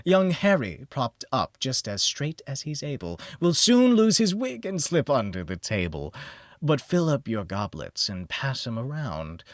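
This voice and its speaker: A man performs a boisterous, tipsy young man from a gothic storybook, reciting bawdy tavern verse. The voice leaps high and swings wildly through the rhymes with rowdy, declamatory cheer. It is recorded very dry. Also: no echo. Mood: boisterous, drunken.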